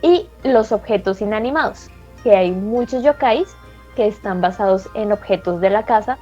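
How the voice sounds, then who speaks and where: A woman co-hosting a talk show, explaining things carefully and pausing on fillers as she goes. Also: medium pitch, dark tone, faint music behind. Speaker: co-host. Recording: slightly dull lo-fi audio.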